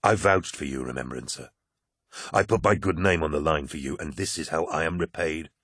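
A man voicing a huge, grim male warrior captain, talking low and clipped with his anger held in check. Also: a little fast, fairly bright tone, no echo, clean studio sound. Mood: bitter.

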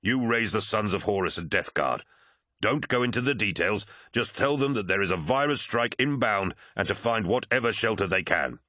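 A man's voice: lowish voice, lively intonation, moderate pace, sharp tone, no echo. A grave, loyal military captain played by a male narrator, earnest and urgent. The delivery is that of a serious sci-fi audiobook, recorded close and dry. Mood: determined.

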